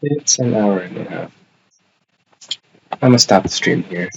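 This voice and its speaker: A man's voice: sits low, expressive, measured pace, vocal fry, muffled recording, background noise. A man giving casual live gameplay commentary as a streamer, muttering short offhand remarks to himself. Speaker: game streamer. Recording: game music behind the voice.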